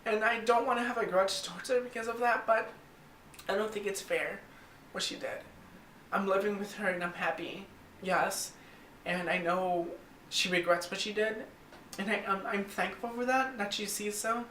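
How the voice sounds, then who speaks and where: A young man from the US South with an American accent, talking informally and a little haltingly about himself in a candid documentary interview. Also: high voice, fairly even intonation, pushed and bright. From US South, Virginia and North Carolina. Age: young adult. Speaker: interviewee, model. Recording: distant roomy mic, faint noise.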